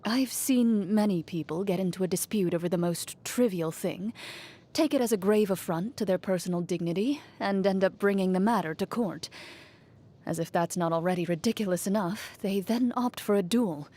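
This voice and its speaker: An actress playing a cool, composed young woman, a champion duelist in a fantasy video game, speaking in a measured, formal and restrained way. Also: medium pitch, warm tone, lightly acted. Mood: measured, reflective. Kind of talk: scripted video game character voicelines.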